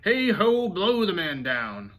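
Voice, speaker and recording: A man voicing a rowdy crew of pirates in a storybook, shouting along with their captain. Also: sits high, big pitch swings, takes it slowly, voice pushed up, heavily acted. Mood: rowdy singing. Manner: shouting, echoing the captain's words.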